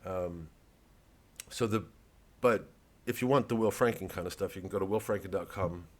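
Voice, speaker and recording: A middle-aged American man, a comedian, talking casually in a halting, digressive monologue full of repeats and asides. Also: deep voice. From United States. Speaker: stand-up comedian and satirist. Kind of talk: rambling casual monologue to an audience of fans.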